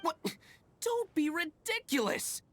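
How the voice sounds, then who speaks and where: A woman's voice: extremely high pitch, big pitch swings, takes it slowly, a bit bright, heavily acted. A woman playing a blunt, opinionated townswoman in an anime-style video game, stubborn and matter-of-fact. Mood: insistent, dismissive. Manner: blunt, know-it-all. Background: music.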